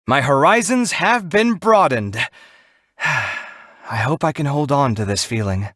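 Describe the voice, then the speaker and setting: A man's voice: on the high side, animated, unhurried, fairly bright tone, no room sound, studio quality. A man voicing an earnest, proud young male architect in an anime-style video game, speaking articulately and theatrically. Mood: resolute. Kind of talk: animated anime-game voice acting.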